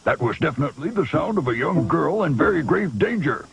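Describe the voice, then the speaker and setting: An actor playing a good-hearted, cautious cartoon hunter, earnest and folksy. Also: male voice, a little high, very animated, a little fast, gravelly. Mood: concerned, resolute. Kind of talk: animated fairy-tale voice acting. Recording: VHS cartoon soundtrack with loud music.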